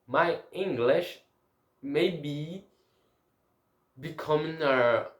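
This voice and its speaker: Slow, hesitant man in an echoey room. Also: a little high. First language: Portuguese. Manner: halting, with frequent restarts and searching for words. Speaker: language learner. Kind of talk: casual spoken language diary.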